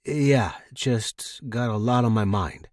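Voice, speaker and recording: A man's voice: mid-range voice, slow, darkish tone, no room sound, studio quality, natural voice. A man voicing a bitter, distracted young office worker in an audio story, curt and casual. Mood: distracted, evasive. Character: David, bitter, sarcastic, distracted young male office analyst.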